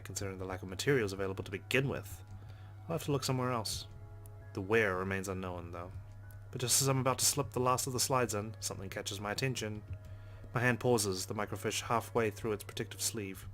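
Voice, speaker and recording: A man's voice: on the low side, fairly bright tone. A man reading a visual novel aloud as a let's play host, narrating expressively with casual asides. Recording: game music behind the voice.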